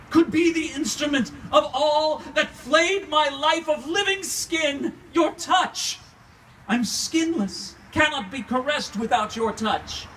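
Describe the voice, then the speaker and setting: A distant, faintly noisy live recording of a man performing an ancient vampire count, lovelorn and bitter. He declaims slowly and theatrically in a Californian accent, full of anguish, in a high-pitched male voice. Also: a bit bright, full character voice. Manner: theatrical, declamatory, anguished, archaic poetic phrasing. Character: grieving, embittered centuries-old vampire count, lovelorn, raging at God. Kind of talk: dramatic monologue reading.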